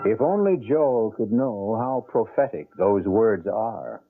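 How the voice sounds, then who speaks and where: A man hosting and narrating a radio mystery in an ominous, measured, theatrical way. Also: lowish voice, animated. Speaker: host and narrator. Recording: tinny, band-limited old radio broadcast.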